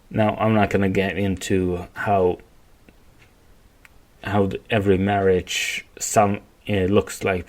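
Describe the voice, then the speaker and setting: A man from Sweden with a Swedish accent, speaking English as a second language in a rambling, halting solo podcast monologue. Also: low voice. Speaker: podcast host.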